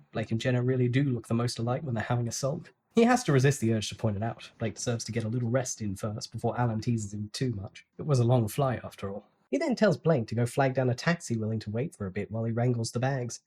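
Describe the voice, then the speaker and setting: A story narrator with a medium-pitched voice. The delivery is scripted audiobook narration, read as a dramatic novel performance. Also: expressive, fast, a little mellow, breathy edge.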